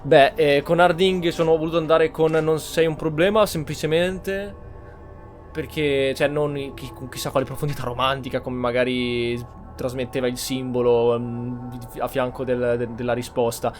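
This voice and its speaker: A man's voice: medium pitch, little pitch movement, normal speed, soft background music. An Italian man giving casual gameplay commentary, musing aloud as he plays. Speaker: gamer, let's player.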